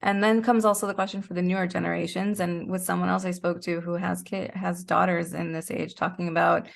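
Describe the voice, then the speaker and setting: Female interview guest. Slightly low voice with clear fry, conversational, thoughtful delivery that feels its way through ideas. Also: little pitch movement, fairly quick. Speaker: interview guest, actor and podcaster.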